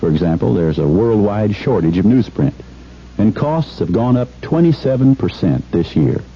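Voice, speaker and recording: A man's voice: fairly low pitch, big pitch swings, normal speed. An American man reading a scripted, conversational 1970s radio commercial. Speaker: commercial spokesman. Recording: dull, hissy vintage radio broadcast.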